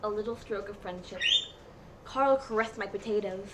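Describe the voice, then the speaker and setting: A woman's voice: mid-range voice, moderate pace. A woman narrating a comedic dramatic reading of fan fiction in a mock-serious storybook manner. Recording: clearly noisy home recording.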